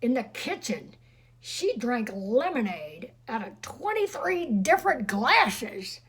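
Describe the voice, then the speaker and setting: A woman's voice: on the high side, sing-song, slow pace, slightly rough. A woman reading a storybook aloud expressively to children, with chatty, teasing asides to her listeners.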